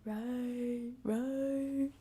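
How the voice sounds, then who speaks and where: A woman's voice: on the high side, steady tone, dark tone. A woman streamer giving casual, playful commentary to a live chat, talking in internet slang. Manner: slangy, playful, drawn-out delivery. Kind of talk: casual livestream commentary, chatting with viewers.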